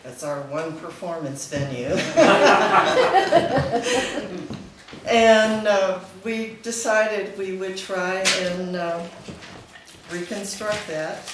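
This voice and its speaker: A woman's voice: mid-range voice, lively intonation, extremely slow, long pauses, background noise. A woman speaks casually and informally, introducing a song as an amateur singer would at a gathering. The room is very reverberant, and she is picked up from some distance away. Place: large echoey room at a live gathering. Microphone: distant microphone. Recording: echoey hall, picked up from far off.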